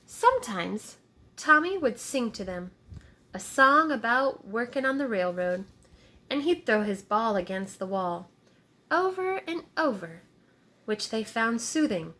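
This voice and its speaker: Bright-voiced woman reading slowly to children. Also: on the high side, sing-song. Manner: deliberate, child-directed, explains words and asks questions. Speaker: teacher reading a picture book aloud to young children. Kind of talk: storybook read-aloud with simple asides and questions to children.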